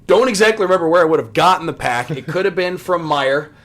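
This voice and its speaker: A man's voice: fairly high pitch, expressive, quick pace, a bit bright. Talkative American man in his thirties. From US Midwest. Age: 30s. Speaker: podcast guest, sports radio play-by-play announcer. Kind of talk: casual hobby chat.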